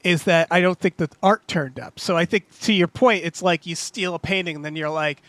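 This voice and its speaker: Man, high-pitched, dry close sound; easygoing podcast banter. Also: fairly bright tone, no room sound. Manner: quick interjections, easygoing banter. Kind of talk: casual podcast chat.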